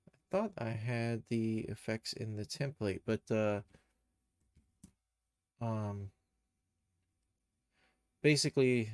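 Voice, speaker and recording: Gravelly low-voiced man, casual tutorial. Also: slow, long pauses, darkish tone, dry recording. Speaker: streamer, animation tutor. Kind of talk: live software tutorial, casual thinking aloud.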